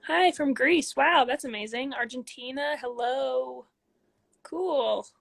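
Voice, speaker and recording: A woman in her twenties, speaking from a room at home into a phone, chats casually with many ums as she reads out viewer questions and answers them, sometimes dropping to soft asides. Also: sits high, slow, gravelly. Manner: reads comments aloud, chatty, frequent ums, drops into soft asides. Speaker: athlete hosting a live Q&A.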